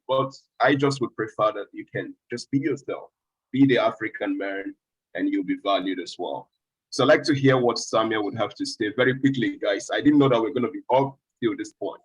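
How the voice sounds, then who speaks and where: A man's voice: lowish voice, breathy edge, poor audio. A man hosting an online panel discussion on a video call, encouraging speakers and keeping things moving. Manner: encouraging, wraps up speakers briefly. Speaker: host.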